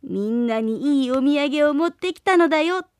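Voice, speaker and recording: A woman's voice: sits high, expressive, normal speed, darkish tone, no echo. A woman voicing a sly male wolf who pretends to be the mother goat, coaxing the kids in a storybook reading. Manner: coaxing, then threatening.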